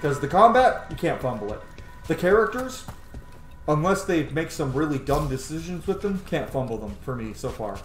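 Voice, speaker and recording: A man's voice: fairly high pitch, sing-song, normal speed. A man in his thirties talks through a game in a casual, opinionated way, with plenty of enthusiasm. The home room adds noticeable echo, and music plays behind him. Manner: enthusiastic, opinionated, swears freely. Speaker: gaming let's player. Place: small room at home, indoors. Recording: roomy home recording under game audio.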